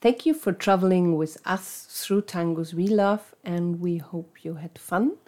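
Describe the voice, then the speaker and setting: A woman co-hosting a podcast, calmly prompting her co-host with questions and closing the episode. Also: on the low side, unhurried. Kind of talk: casual chat and episode sign-off.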